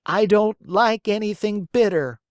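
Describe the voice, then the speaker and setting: A storyteller voicing a whiny, stubborn wooden marionette boy in a high, strained, whining voice. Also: a little slow, darkish tone, no room sound, clean studio sound, close to an everyday voice. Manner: bargaining, then wailing and pleading.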